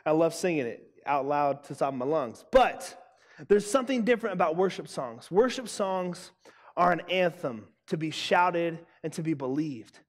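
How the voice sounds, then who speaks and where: A young man preaching a sermon to students, emphatic and loud, repeating his key lines. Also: fairly high pitch, lively intonation, moderate pace. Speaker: youth pastor. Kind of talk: youth sermon. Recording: long hall reverb.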